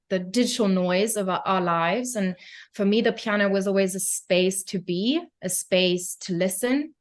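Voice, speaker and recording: A young woman from Germany speaks English with a German accent as a podcast guest. She talks in a thoughtful, reflective way, pausing gently between her ideas. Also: mid-range voice. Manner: thoughtful, reflective, with soft laughs and hesitations. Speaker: guest, pianist and founder. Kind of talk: podcast interview.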